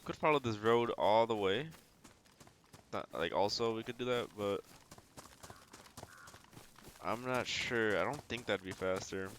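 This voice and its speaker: Guy w/ lowish voice chatting thru his gameplay on stream, thinking out loud, some noise behind him. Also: a little slow, pauses often. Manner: talks through decisions as if thinking aloud. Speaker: video game streamer. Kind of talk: casual live gameplay commentary.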